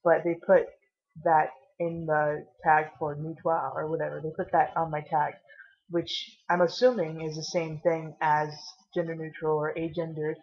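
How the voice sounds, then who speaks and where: A tired, caffeinated woman vlogging casually with a low voice, rambling and swearing now and then. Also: dull audio.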